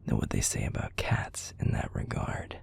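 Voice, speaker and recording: A man playing a bitter, cynical werewolf pack enforcer in an audio roleplay, with a husky, gruff, confrontational delivery. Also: normal speed, whispered, natural voice. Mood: grudging, threatening. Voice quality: gravelly, husky, breathy. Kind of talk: scripted audio roleplay acting.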